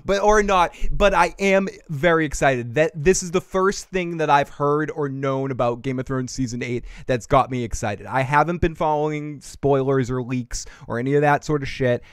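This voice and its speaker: Excitable Boston man, clear higher-pitched voice. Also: moderate pace, hardly any pauses, no room sound, studio quality. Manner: excitable, emphatic, rambling. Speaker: host of a TV fan call-in show. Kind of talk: casual, profane fan commentary.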